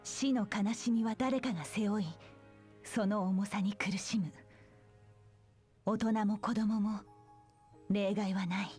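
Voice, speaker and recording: An actress playing a solemn young woman soldier in a Japanese video game drama, speaking gravely with hushed phrase endings. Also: medium pitch, fairly even intonation, moderate pace, pauses often, faint music behind, lightly acted. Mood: sorrowful, determined.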